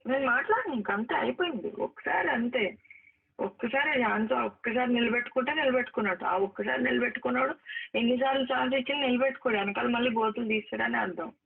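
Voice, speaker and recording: A woman's voice: a little high, quick pace, gravelly, slightly rough. A woman arguing in a private phone call, emphatic and repetitive, reproaching the person she is talking to. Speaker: actress. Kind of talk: heated private phone conversation. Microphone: phone line. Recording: narrow, tinny recorded phone call.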